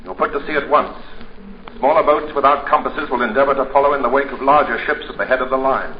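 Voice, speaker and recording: An actor playing a naval officer giving a formal, commanding briefing to boat crews. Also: male voice, mid-range voice, fast, slight background noise, close to an everyday voice. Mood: grave. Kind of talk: 1940s radio acting. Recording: echoey muffled 1940s radio broadcast.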